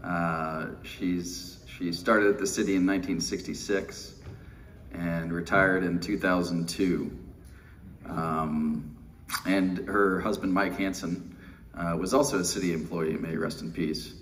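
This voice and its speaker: Low, slow-talking middle-aged Texan man. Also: steady tone, a bit bright, recorded from a distance. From Texas, USA. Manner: wry and self-deprecating, with fillers. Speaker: keynote speaker at a pension conference. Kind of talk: live conference speech. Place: large windowless conference room. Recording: conference room, speaker away from the mic, faint room noise.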